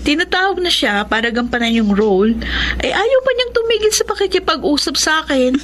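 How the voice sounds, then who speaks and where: High-voiced young Filipina. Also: female voice, very animated, a little fast, fairly bright tone, slight background noise. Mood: light, friendly. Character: troubled young female student estranged from her family. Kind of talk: Tagalog radio drama acting.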